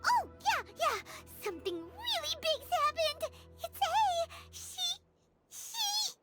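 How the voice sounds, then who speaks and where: A woman voicing a small, excitable, indignant fairy companion with a squeaky childlike voice in a video game. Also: slow, heavily acted. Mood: anxious. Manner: refers to self in third person, blurts and protests. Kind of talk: video game character voice acting. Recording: music behind the voice.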